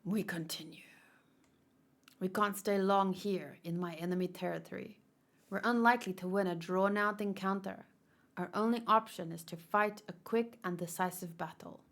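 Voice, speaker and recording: Woman reading as a stern, measured military commander. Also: medium pitch, fairly even intonation, fairly bright tone, natural voice. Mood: grave, resolute. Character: stern male military commander, decisive and grave. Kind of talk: manga read-aloud.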